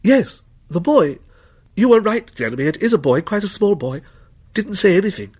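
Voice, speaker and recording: Man voicing an earnest, higher-pitched teenage girl. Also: big pitch swings, moderate pace, darkish tone, slightly rough, exaggerated voice. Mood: uneasy. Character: anxious, kind-hearted teenage girl, eager daughter.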